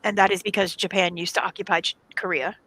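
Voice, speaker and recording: Bright-voiced chatty woman. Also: mid-range voice, fairly even intonation, fast, rough recording. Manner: chatty, explains at length, laughs often. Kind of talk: casual chat.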